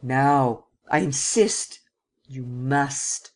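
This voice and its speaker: A woman's voice: rumbling low, very slow, heavily acted. A woman reading the part of a ruthless, commanding male nobleman in an audiobook, speaking with vehemence. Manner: imperious, vehement.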